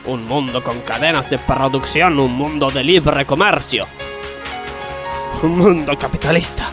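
A man's voice: medium pitch, measured pace, music in the background, close to an everyday voice. An Argentine man playing a grandiose, slightly dim ringleader of factory workers in a comedic radio play, persuasive and conspiratorial.

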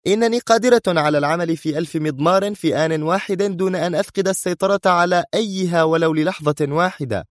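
A man voicing a fierce, domineering female spy villain, stern and sharp-tempered, in an audiobook thriller. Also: high-pitched, quick pace, hardly any pauses, dry recording, studio quality, voice pushed up, lightly acted. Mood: stern, boastful.

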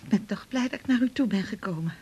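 A woman's voice: mid-range voice, expressive, fast, darkish tone, husky. An actress playing a shy, confiding married woman patient in a radio drama, speaking hesitantly. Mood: shy, relieved.

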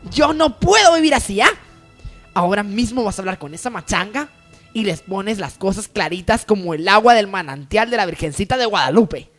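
A man's voice: high-pitched, lively intonation, normal speed, a bit bright, faint music behind. A man playing a jealous, bossy rich woman in a parody radio soap, melodramatic and haughty. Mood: indignant, scheming.

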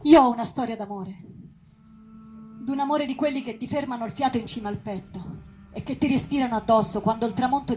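A woman's voice: a little high, darkish tone, close to an everyday voice, rough recording. A woman acting a passionate storyteller in a lyrical theatrical monologue about a tragic love. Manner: lyrical, impassioned.

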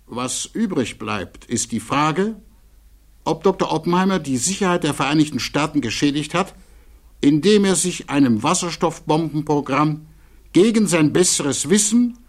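An actor playing an earnest defense attorney in a German radio play, delivering a formal, measured plea with emphatic rhetoric and deliberate pauses. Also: male voice, on the high side, expressive. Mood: insistent, grave. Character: defense attorney pleading earnestly before a security hearing.

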